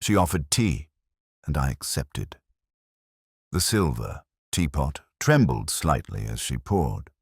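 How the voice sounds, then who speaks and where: A middle-aged man narrating a first-person detective story in a measured, brooding, literary manner. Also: a bass voice, big pitch swings, gravelly, breathy edge, no echo, clean studio sound.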